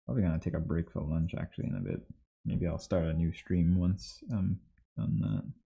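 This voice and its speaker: A man's voice: low-pitched, a little fast, creaky, mellow, slightly rough. A man in his thirties from Trinidad and Tobago, chatting casually and easily on a livestream while he works, in slightly muffled audio. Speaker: livestream host, 3D artist. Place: small indoor home workspace.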